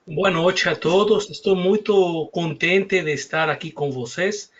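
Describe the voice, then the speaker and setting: A man from Mexico whose first language is Spanish speaks with a Mexican accent, politely and pausing for words now and then. His voice sits a little high, and the video call audio sounds muffled. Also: fairly bright tone. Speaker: panelist, human development specialist. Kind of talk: self-introduction on a web panel.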